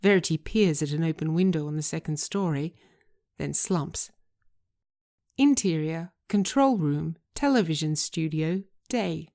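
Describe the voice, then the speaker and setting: A woman's voice: low-pitched, measured pace, warm tone. A woman reading scripted screenplay narration as its writer and narrator.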